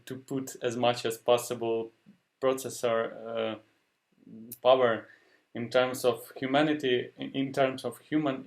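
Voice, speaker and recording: A man with a Ukrainian accent, a Ukrainian native speaker, talking in a halting way and often stopping to search for words. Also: medium pitch. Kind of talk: casual discussion.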